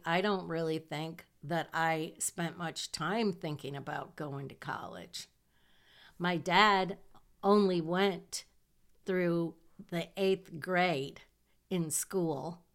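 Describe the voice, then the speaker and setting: Slow-talking nasal woman. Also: lowish voice, steady tone, fairly bright tone. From southern Michigan. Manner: slow, chatty, self-deprecating with small laughs. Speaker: podcast host. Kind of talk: casual personal monologue.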